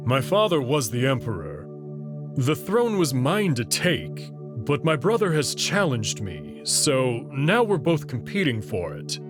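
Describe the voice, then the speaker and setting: Man acting a guarded, slow-spoken wolf prince. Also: mid-range voice, huge pitch swings. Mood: proud, explaining. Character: guarded, proud young male wolf alien prince. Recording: clear music behind the voice.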